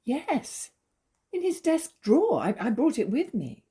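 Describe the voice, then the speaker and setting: A woman's voice: high voice, wildly swooping pitch, unhurried, warm tone, breathy edge, exaggerated voice. A woman playing a naive, emotional wife in a stage comedy, earnest and hesitant in manner. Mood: anxious, bewildered. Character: naive, tearful, easily fooled jealous young wife. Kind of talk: theatrical stage comedy acting.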